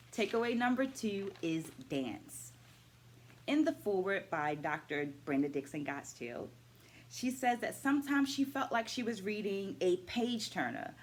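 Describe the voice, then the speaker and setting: A middle-aged woman, a dance educator, talking through her takeaways from a book in a measured vlog, stacking her points in lists and asking viewers to comment. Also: lowish voice, a little hiss or hum. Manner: asks viewers questions and invites comments.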